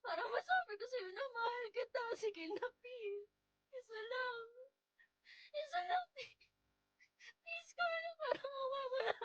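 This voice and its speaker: A grief-stricken young Filipino wife in a radio drama. Her very high voice breaks with tears as she pleads and wails in repeated bursts. The sound is dry and close. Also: a little slow, long pauses, a bit bright, no room sound, highs cut off. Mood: desperate sobbing. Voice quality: tearful, breaking voice. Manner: pleading, repetitive wailing.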